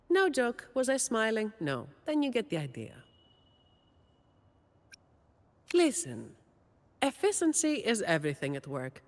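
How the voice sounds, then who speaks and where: A woman playing a weary, dutiful young office employee in a video game, delivering scripted monologue in a dry, deadpan way. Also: a little high, long reverb tail.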